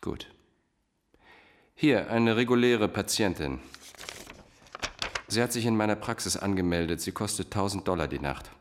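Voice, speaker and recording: An actor playing a cold, manipulative doctor in a crime radio drama, speaking in a measured, condescending, commanding way. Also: male voice, lowish voice, background noise, natural voice. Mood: calm, commanding. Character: controlling male doctor, cold manipulative cult-like mastermind. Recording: music playing behind the voice.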